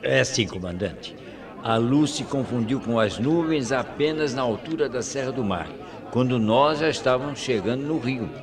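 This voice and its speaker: A man's voice: mid-range voice, normal speed, crowd noise, close to an everyday voice. A Brazilian man playing a respectful, curious airline crew member in a radio drama, articulate and deferential in his speech. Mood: respectful curiosity. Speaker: radio actor. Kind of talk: 1990s radio drama acting.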